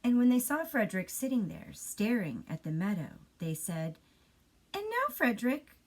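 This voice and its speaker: A woman reading a children's bedtime story as an expressive storyteller. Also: mid-range voice, normal speed.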